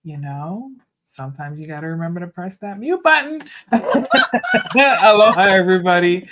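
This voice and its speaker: A podcast host opening a livestream episode in a relaxed, chatty way, with many ums and restarts. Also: mid-range voice, normal speed, a little hiss or hum. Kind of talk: casual livestream podcast intro.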